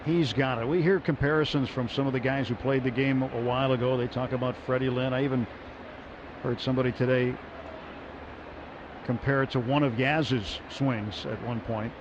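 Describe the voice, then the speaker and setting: A man calling baseball play-by-play on the radio from a ballpark booth, steady and rising sharply on big plays. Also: medium pitch, moderate pace. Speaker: play-by-play announcer. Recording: muffled radio broadcast with crowd noise.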